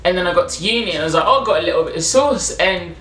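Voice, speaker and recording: A woman's voice: lowish voice, lively intonation, rapid-fire, fairly bright tone, faint music behind. A young British woman in her twenties from England, chatting casually and animatedly with lots of fillers. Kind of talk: casual chat. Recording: roomy echo.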